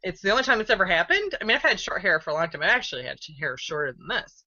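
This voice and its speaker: A woman's voice: big pitch swings, racing, sharp tone. A woman co-hosting a casual chat over a video call on a headset, rambling with restarts and laughing a lot. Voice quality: deep voice. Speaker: podcast co-host. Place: room with curtains. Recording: dull lo-fi video call audio.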